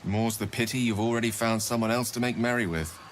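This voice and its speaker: A man's voice: fairly low pitch, fast, a little hiss or hum, close to an everyday voice. A man voicing a courteous, bookish wizard in a fantasy game, musing in a wry, florid way. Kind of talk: video game dramatic acting.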